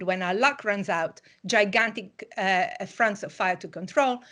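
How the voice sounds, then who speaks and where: A woman whose mother tongue is Italian delivers a university public lecture online. Her voice sits in an ordinary middle pitch, and she explains things in a measured way, pausing now and then on fillers. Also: a bit bright.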